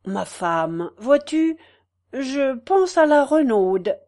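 A woman's voice: high-pitched, sing-song, warm tone, pitched above the performer's own voice, exaggerated voice. A woman playing a ponderous old-style farmer. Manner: slow, deliberate rustic speech. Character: slow, ponderous male peasant farmer.

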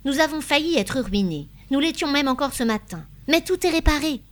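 A woman's voice: high voice, lively intonation, brisk, voice pushed up. A woman voicing a proud, hopeful middle-aged shopkeeper husband in an audiobook, speaking insistently and reassuringly. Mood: defensive. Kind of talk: dramatised audiobook dialogue.

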